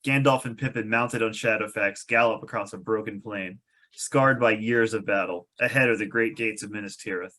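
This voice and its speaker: A man's voice: lowish voice, moderate pace, bright, forceful tone. A man reading stage directions aloud as narrator of a fantasy screenplay table read.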